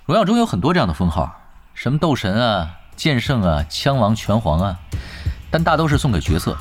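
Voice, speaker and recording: An actor playing a knowledgeable male gamer calmly explaining matters to a friend. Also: medium pitch, fairly quick, faint music behind. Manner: explanatory, relaxed.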